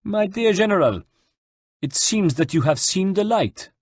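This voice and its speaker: A man plays a silky villain of a French general in an audiobook. The voice is raised high, pompous and falsely courteous, looking down on everyone. The recording is clean and dry. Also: expressive, measured pace, breathy edge, no echo, full character voice. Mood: mock-polite. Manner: pompous, mock-polite. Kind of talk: dramatised audiobook narration.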